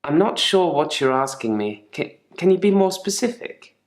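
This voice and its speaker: Wry, guarded gravedigger in an echoey room. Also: male voice, fairly high pitch, sing-song, normal speed. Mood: matter-of-fact, musing. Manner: guarded, dryly sardonic, articulate. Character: troubled, wry gravedigger man, a therapy patient. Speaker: actor in a video game. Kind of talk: naturalistic acted monologue in therapy session.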